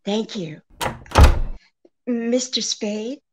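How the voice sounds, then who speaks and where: An actress playing an anxious, genteel woman pleading for help in a 1940s-style radio drama, speaking haltingly. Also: a little high, background noise. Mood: nervous, hesitant. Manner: hesitant, halting, faltering.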